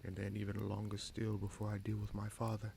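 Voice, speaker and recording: A man playing a scheming sorcerer prince in a fantasy audio roleplay, smug and coolly menacing, with a gravelly voice and slow, deliberate, taunting delivery. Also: fairly low pitch, completely flat delivery, background noise. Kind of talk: fantasy audio roleplay, dramatic monologue addressed to listener.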